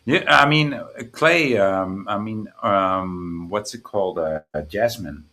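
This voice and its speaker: A man's voice: on the low side, slow pace, sharp tone. A man hosting a livestream with casual chat, hesitant and often repeating his words. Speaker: livestream host.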